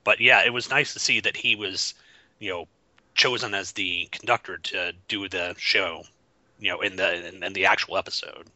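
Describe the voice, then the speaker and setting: Deep, bright-edged male podcast guest. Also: steady tone, normal speed, no room sound. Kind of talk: casual podcast chat. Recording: dull lo-fi dry audio.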